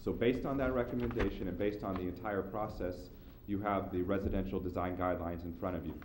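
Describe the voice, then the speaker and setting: City staff guy with a cold, very low dark voice, restarts his sentences a lot. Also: steady tone, a little hiss or hum. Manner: halting, repeats words, upbeat. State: recovering from a cold, losing his voice. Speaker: city planning staff member. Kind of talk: public meeting introduction.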